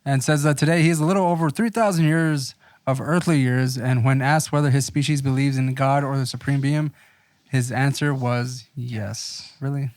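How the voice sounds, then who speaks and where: A man's voice: medium pitch, fairly even intonation, normal speed, a little mellow, dry recording. A man co-hosting a casual podcast, reading from an article and commenting on it. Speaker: podcast co-host.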